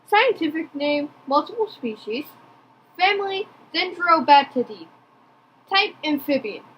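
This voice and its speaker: Female announcer-style read of a fact file, slow and deliberate, faint noise behind. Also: sits high, big pitch swings, lo-fi sound. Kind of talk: loud announced reading of creature fact file.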